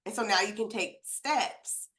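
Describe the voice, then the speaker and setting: A woman's voice: mid-range voice, a little slow, fairly bright tone, rough recording. A woman co-hosting a podcast, talking in a supportive, affirming way and explaining her points in loose, conversational phrasing. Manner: supportive, affirming, explains with many likes and you knows. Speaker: podcast co-host. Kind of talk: casual conversation. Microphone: video call.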